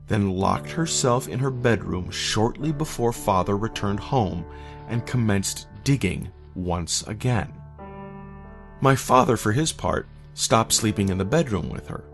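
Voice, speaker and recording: A man reading a scripted first-person horror story in a measured, solemn way. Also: on the low side. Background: eerie background music.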